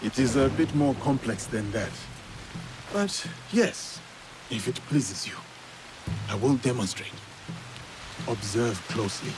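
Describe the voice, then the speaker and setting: A man playing a calm, honourable samurai warrior in a video game, speaking in a measured, formal and gentle way. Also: medium pitch, noisy background. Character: calm, grave, honourable male samurai warrior, mentor to a boy. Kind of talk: video game cutscene acting.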